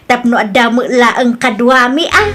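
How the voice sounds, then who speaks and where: A woman playing a forgiving older grandmother in an Ilocano family comedy drama, speaking in a measured way. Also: a little high, loud music behind the voice. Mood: grateful. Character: older grandmother, once proud, mellowed and forgiving.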